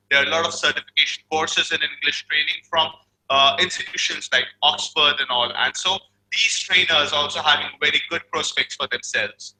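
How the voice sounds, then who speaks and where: A man's voice: on the high side, bright, forceful tone, rough recording. A man hosting a webinar, introducing the topic and his guest formally, with frequent uh fillers. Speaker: webinar host.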